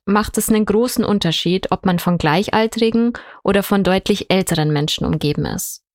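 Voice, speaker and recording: A woman reporter narrating a scripted story, clear and measured, addressing viewers directly. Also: mid-range voice, no room sound, clean studio sound.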